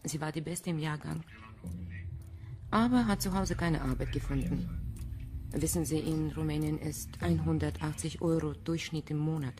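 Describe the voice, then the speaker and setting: A woman's voice: low-pitched, moderate pace, lightly acted. An actress playing a guarded, defiant Romanian immigrant woman in a crime radio drama, with clipped, formal phrasing. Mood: sombre, earnest. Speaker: actor in a crime radio play. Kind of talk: German radio drama acting.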